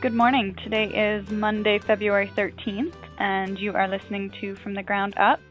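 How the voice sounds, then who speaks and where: A woman's voice: mid-range voice, flat delivery, moderate pace, faint music behind. A woman hosting a farming podcast, leading a guest interview in a friendly, conversational way. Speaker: podcast host. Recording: dry, tinny phone-like sound.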